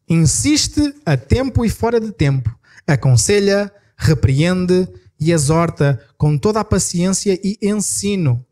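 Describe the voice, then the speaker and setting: A male pastor with a European Portuguese accent delivers a sermon in a fairly high voice. He reads the verses slowly with rising emphasis, then speeds up for quick explanations and small corrections. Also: darkish tone. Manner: self-correcting, apologetic asides. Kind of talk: sermon with scripture reading.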